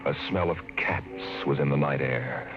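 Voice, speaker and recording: A man narrating a radio drama in a measured storytelling manner. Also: rumbling low, over loud music. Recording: muffled 1950s radio broadcast.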